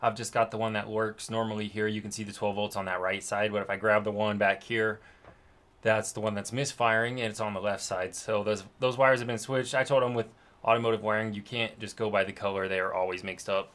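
Chatty low-voiced mechanic venting. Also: male voice, flat delivery, a little fast, a bit bright. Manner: chatty, frustrated venting, explains repairs step by step. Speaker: mobile mechanic. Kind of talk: casual vlog talking to camera.

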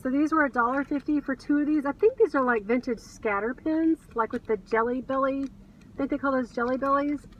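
A woman's voice: high voice, brisk, lo-fi sound. A middle-aged American woman vlogging casually from inside a car, chatting to camera in a gushing, enthusiastic way. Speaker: reseller vlogger. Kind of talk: casual chat to camera, showing thrift finds. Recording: car cabin, distant mic, background noise.

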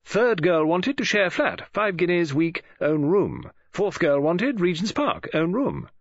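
A man voicing an excitable, scatterbrained middle-aged woman novelist in an audiobook, gushing and rambling in a flustered way. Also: high voice, a little slow, dry recording, dull audio, pitched above the performer's own voice, exaggerated voice. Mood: brisk, eager. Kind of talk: audiobook character dialogue.

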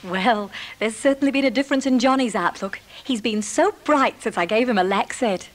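Woman acting a caring happy mum in an old radio ad, high voice. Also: moderate pace, lightly acted. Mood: pleased, confiding. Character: caring, pleased mother in a radio commercial.

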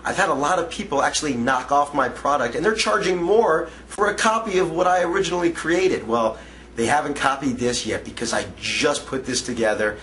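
Young male self-promoter, mid-pitched, keen and chatty, faint background hiss. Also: fairly bright tone. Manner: enthusiastic, conversational, with self-corrections. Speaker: restaurant magician pitching his course. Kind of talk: direct-to-camera sales pitch. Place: indoor room, seated on a patterned couch.

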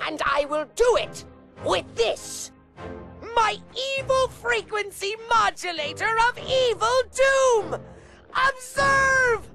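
A pompous little cartoon supervillain, very high and gravelly. He declaims slowly and theatrically, full of petulant grandeur, with music behind him. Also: lively intonation, heavily acted. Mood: grandiose scheming. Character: small bald pompous male evil overlord, theatrical and petulant. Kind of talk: animated game cutscene villain acting.

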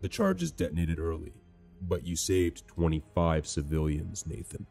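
A man putting on a gruff American general with a low, mellow voice. He talks calmly and steadily to a wounded man, with faint music under him. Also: unhurried, heavily acted. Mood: gentle. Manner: gruff, calm, reassuring.

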